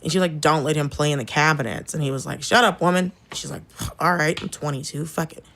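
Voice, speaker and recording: A woman's voice: low-pitched, fairly even intonation, fast, creaky, fairly bright tone. An American woman in her thirties from Atlanta, chatting casually as a podcast guest, blunt and sweary, telling personal stories and acting out the dialogue. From Atlanta, southern United States. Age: 30s. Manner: candid, blunt, swears freely, acts out quoted dialogue. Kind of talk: casual chat, personal storytelling.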